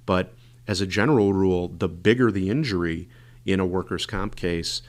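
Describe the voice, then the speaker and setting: Brief hoarse male podcast host, very low voice, loose rambling delivery. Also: moderate pace. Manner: rambling, filler-heavy explaining. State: hoarse and congested. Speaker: podcast host, injury lawyer. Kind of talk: casual podcast monologue.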